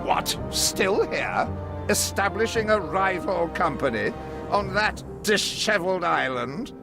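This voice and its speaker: An actor playing a sneering, haughty villainous uncle in a video game, with a scornful, pompous delivery. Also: male voice, high voice, lively intonation, takes it slowly, vocal fry, music in the background, exaggerated voice. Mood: contemptuous. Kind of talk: video game story dialogue.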